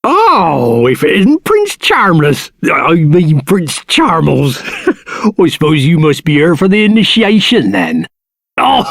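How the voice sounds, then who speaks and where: Raspy, sneering commoner. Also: male voice, fairly high pitch, fairly bright tone, no echo, studio quality, exaggerated voice. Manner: sarcastic, mock-polite. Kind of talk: video game character acting.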